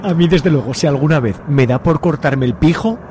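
A man's voice: a little high, brisk, darkish tone, a little hiss or hum, lightly acted. A man acting a brash, upbeat young friend in a comic radio soap, slangy and emphatic. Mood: enthusiastic. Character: Pablo, brash, upbeat young male buddy.

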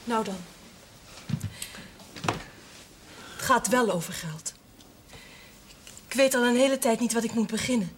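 Shy young village woman played by an actress, high voice, hesitant, polite, noisy old TV soundtrack. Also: normal speed, lightly acted. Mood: uneasy. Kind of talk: naturalistic television drama acting.

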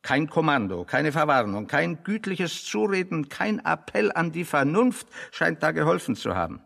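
A man acting in a German radio play as a pedantic, ironic intellectual, speaking deliberately and mock-seriously. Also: medium pitch, creaky, fairly bright tone, in a large hall, full character voice.

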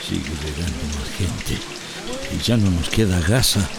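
A weary shelter helper in a radio drama. His voice is deep and worried, amid rain and loud crowd babble. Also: animated, measured pace, gravelly, exaggerated voice.

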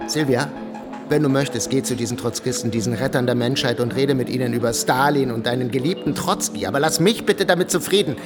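A man's voice: medium pitch, brisk, music in the background, close to an everyday voice. A man playing an intense young secret agent in a radio drama, speaking in a clipped, tense manner. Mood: irritated, feigned calm.

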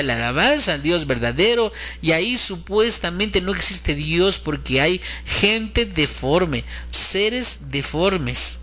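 A man's voice: a little high, sharp tone. A man giving an earnest, moralizing religious commentary in a sermon-like lecture style.

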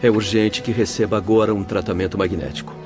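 A man voices a calm, authoritative doctor in a radio drama, giving orders to nurses. His voice is somewhat low, with clear music under it. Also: fast, natural voice.

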